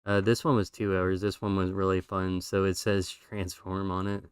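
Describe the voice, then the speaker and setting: A man's voice: deep voice, normal speed, smooth, no room sound, studio quality. A man streaming, chatting casually and rambling through his drawings while losing his voice. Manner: relaxed, rambling with fillers.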